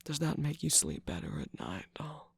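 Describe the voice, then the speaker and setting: A performer voicing a smug, seductive villainous cookie radio host in an ASMR romantic roleplay, slow, coaxing and teasing, with a breathy, gravelly voice that often drops to a whisper. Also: mid-range voice, very animated, dry recording, heavily acted. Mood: sly, coaxing. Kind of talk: ASMR romantic roleplay, scripted character acting. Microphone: close microphone, dry.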